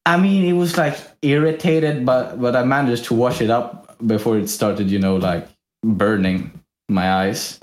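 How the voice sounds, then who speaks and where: A man's voice: medium pitch, measured pace. A young man from Sweden telling a story in a casual, rambling way, laughing often. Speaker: podcast co-host. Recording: echoey room.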